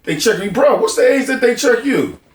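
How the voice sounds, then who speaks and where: Lively, prodding male moderator. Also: very high voice, brisk. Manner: prodding questions, reactive. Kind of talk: candid group discussion. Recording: roomy, distant mic.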